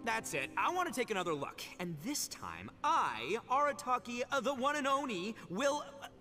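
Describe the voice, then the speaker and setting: A man's voice: extremely high pitch, sing-song, normal speed, sharp tone, full character voice. A man voicing a brash, goofy young gang boss in a video game, blustering, stammering and full of slangy exclamations. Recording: long hall reverb, light music behind.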